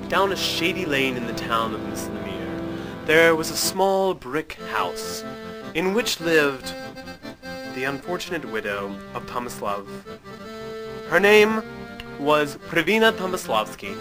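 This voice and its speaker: A man narrating a comic tale as host, in a theatrical, mock-grand storybook style. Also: a little high. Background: music.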